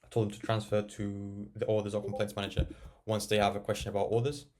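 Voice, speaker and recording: A man narrating a casual software tutorial, explaining and thinking aloud as he works. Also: lowish voice, completely flat delivery, quick pace, slight background noise. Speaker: tech tutorial presenter.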